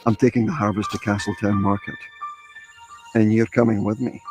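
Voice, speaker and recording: Stern low-voiced man, played by an actor, over loud music. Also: level tone, normal speed, close to an everyday voice. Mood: stern warning. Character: stern bossy man ordering a youth along. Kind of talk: film trailer acting. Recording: film audio with loud music.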